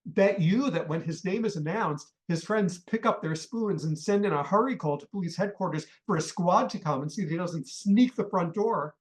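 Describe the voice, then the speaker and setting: A man's voice: high voice, normal speed, hardly any pauses, lightly acted. An actor playing an irascible, blustering middle-aged father and obsessive collector in a comic radio play, ranting indignantly. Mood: indignant, sarcastic.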